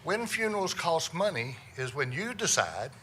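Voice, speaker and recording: Middle-aged funeral director, loud, resonant, bright-toned, slightly higher voice, folksy joking speaker, faint hiss. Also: male voice, sing-song, normal speed. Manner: loud, folksy storyteller with self-deprecating jokes. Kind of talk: humorous presentation to a lawyers' audience.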